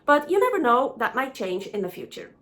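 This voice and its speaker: A woman in her forties with a fairly high voice and a Swiss German accent. She narrates a casual travel vlog in a candid, opinionated way. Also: very animated, fairly quick, a bit bright. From Switzerland. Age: 40s. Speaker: travel vlogger.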